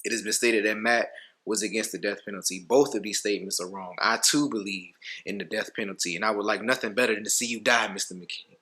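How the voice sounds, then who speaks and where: A male actor performs a grieving father making a courtroom statement in a dramatic monologue. He is solemn and emotional, his bright voice strained with forceful feeling. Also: lowish voice, fairly even intonation, fast. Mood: bitter, controlled.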